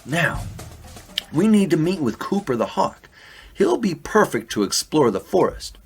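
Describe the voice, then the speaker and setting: Raccoon detective , male actor, earnest boss type, highish and highly animated; kids' mystery radio play. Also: a little slow, over loud music. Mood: businesslike. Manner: polite, businesslike, flustered when surprised. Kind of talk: children's audio drama.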